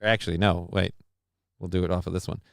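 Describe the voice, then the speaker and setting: A man's voice: low voice, flat delivery, fast, vocal fry, clean tone, no room sound, clean studio sound. A man hosting a casual live stream from a home setup on a desk mic, joking crudely and reading viewer comments aloud. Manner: mock-grand war speech delivery. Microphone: desk microphone.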